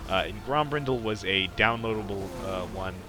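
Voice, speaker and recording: A man's voice: on the low side, moderate pace, music in the background. A man casually commentating on gameplay as a podcast host, in a rambling, hesitant way. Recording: clearly noisy background behind voice.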